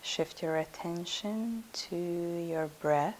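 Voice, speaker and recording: Slow, low-voiced woman guiding meditation. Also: darkish tone, slight background noise, rough recording. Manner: soothing, unhurried, instructing with pauses. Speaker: yoga nidra instructor. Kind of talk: guided meditation.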